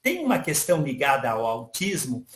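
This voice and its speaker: A man voicing a friendly virtual young male AI character, explaining calmly to an audience. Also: medium pitch, normal speed, echoey room. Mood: earnest. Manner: calm explanatory, addresses audience as "pessoal". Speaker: interviewee.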